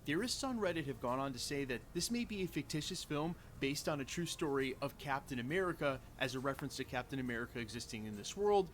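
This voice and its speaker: Polished man at mid pitch, scripted breakdown read, brisk and informative, faint noise behind. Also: fairly bright tone. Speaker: YouTube breakdown channel host. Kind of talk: scripted trailer breakdown narration.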